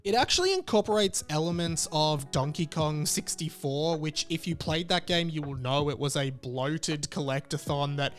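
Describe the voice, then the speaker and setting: Higher-pitched enthusiastic man narrating a video essay. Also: moderate pace, few pauses, fairly bright tone, soft background music. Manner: conversational, enthusiastic, emphatic. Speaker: video creator and host. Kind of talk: semi-scripted video essay narration to camera.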